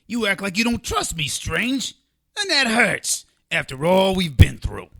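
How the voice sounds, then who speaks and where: Creaky sharp-voiced little magical creature, sour wisecracker in an audio drama, griping and sarcastic. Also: male voice, sits high, sing-song, unhurried, full character voice. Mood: sardonic. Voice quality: raspy, creaky. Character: funny-looking little magical man, wisecracking, sour, lecherous.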